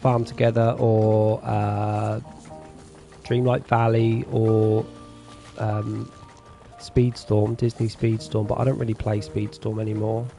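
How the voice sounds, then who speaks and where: A man's voice: lowish voice, takes it slowly, faint music behind. A man streaming a farming game, chatting casually with his viewers and reading their messages aloud. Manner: relaxed, chatty, reads chat aloud. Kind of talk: casual chat with viewers while gaming.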